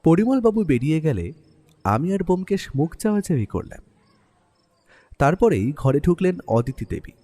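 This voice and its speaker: A man narrating a detective story in an expressive, dramatic way. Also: a little high, moderate pace, pauses often. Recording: faint background music.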